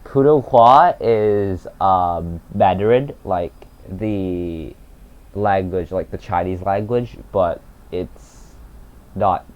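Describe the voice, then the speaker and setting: A very deep-voiced teenage boy, Cantonese his first language, rambles through a casual vlog with a glum, halting delivery full of "like" and "uh". The home recording sounds a little dull and muffled. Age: teens. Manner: halting, many fillers and restarts, glum. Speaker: gaming YouTuber.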